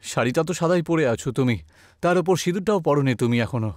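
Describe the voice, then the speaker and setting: A man voicing a frightened, agitated village husband in a horror story, excitable and complaining. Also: on the high side, fast, no echo, studio quality, natural voice. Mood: baffled, reproachful.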